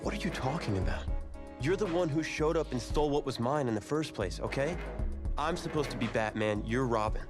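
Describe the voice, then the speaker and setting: A man's voice: medium pitch, brisk, music in the background, lightly acted. A man playing an irritable, competitive young college quarterback in a naturalistic video game cutscene. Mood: competitive, resentful. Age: young adult.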